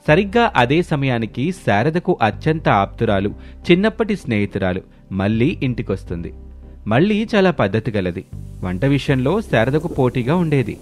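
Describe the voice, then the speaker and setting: A man narrating a scripted animated story as its storyteller. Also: mid-range voice, normal speed. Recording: voice over prominent music bed.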